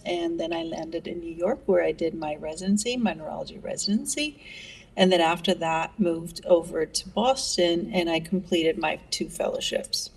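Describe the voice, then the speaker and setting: A woman's voice: fairly low pitch, creaky, breathy edge, distant mic, rough recording. A middle-aged woman, a neurologist answering interview questions in a friendly way with many fillers. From Greece. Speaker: neurologist guest.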